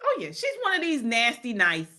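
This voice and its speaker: A woman talking in casual political chat, animated and quick to agree. Her voice sits high and bright, and it leaps up and down as she goes. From Maryland, United States. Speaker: co-host.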